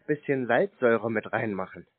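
A man chatting casually on a call, teasing in a deadpan way. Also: medium pitch, normal speed, phone-quality sound. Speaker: scam-baiter taking calls. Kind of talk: casual phone conversation.

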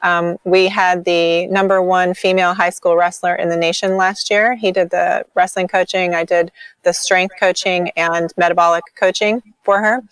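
Lively, chatty woman on a video call. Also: fairly low pitch, normal speed, smooth, no echo. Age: 40s. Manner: enthusiastic, frequent ums and likes. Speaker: podcast guest, sprint coach. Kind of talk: casual interview chat.

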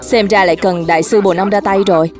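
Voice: mid-range voice, steady tone, quick pace, close to an everyday voice. A performer voicing a boastful pelican master who tells tales grandly in a dubbed children's cartoon. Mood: grandiose. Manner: grandiose storytelling. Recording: music behind the voice.

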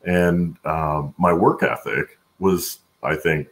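An American man in his forties with a gravelly voice, answering conversationally as an interview guest on a video call. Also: low-pitched, steady tone, takes it slowly, fairly bright tone. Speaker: guest, supply chain executive. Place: room at home on a video call.

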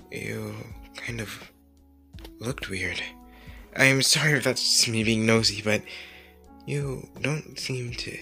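Anime ASMR roleplay: shy, kind teenage hero boy, played by a man, unhurried, stammering and apologetic, music underneath. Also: fairly low pitch, creaky, sharp tone, exaggerated voice. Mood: hesitant, concerned. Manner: hesitant, halting, apologetic, with stammers and pauses.

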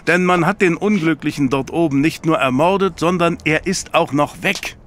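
An actor playing an alarmed, superstitious companion to a detective in a radio play, incredulous and dramatic. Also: male voice, a little high, normal speed, fairly bright tone, natural voice. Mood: alarmed disbelief.

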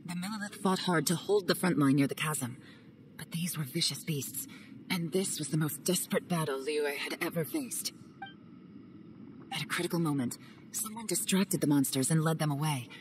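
An actress voicing a cool, secretive young woman agent in a game cutscene, speaking in a calm, measured way. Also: slight background noise, lightly acted. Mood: grave. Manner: calm, measured, low-key. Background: game music.

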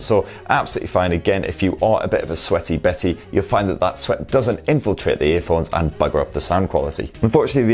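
A man's voice: sits low, a little mellow. A British man in his thirties giving a chatty, slangy product review to camera on a lapel mic indoors. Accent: British English. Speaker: tech reviewer. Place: indoor room at home.

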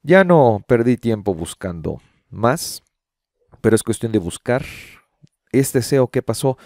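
A middle-aged man talks casually to his viewers as he explains what is on his screen. His voice is dark and relaxed, with a heavy creak. He is close to a studio microphone, with a dry, intimate sound. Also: mid-range voice, slow pace, dry recording. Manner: conversational, explanatory, addressing the viewer directly. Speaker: YouTube host. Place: small home room with shelves and framed pictures. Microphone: close studio condenser microphone on boom arm.

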